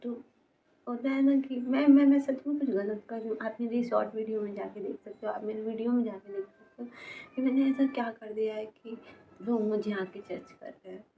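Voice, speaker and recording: Woman in her 20s doing a personal confessional vlog, kinda high voice, stops and starts and repeats herself, tinny phone sound. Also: fairly even intonation, slight background noise. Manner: halting, repetitive, trailing off mid-sentence. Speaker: vlogger. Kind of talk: personal confessional talk to camera.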